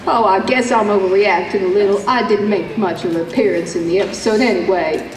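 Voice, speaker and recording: A woman voicing a sassy, sweet-talking pony pop star with wounded vanity in an animated radio play. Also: mid-range voice, a little fast, natural voice. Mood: indignant, grumbling. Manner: theatrical, grumbling, uses endearments. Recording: echoey hall playback, distant, faint noise.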